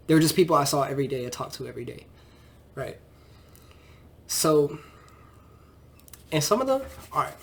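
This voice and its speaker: A young man in his twenties with a stuffy, congested nose chats loosely into the camera, voice sitting a bit high for a guy. He rambles easily and leans on fillers like "you know" as he talks about his life as a trainer. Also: fairly bright tone. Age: 20s. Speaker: fitness trainer and vlogger. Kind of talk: casual rambling vlog talk.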